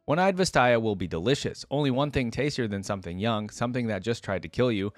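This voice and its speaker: A man's voice: mid-range voice, animated, fast talker, dry recording, close to an everyday voice. A man voicing a cruel, hungry giant beast that thinks in clipped, broken fragments in a dramatic story reading. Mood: gloating, hungry.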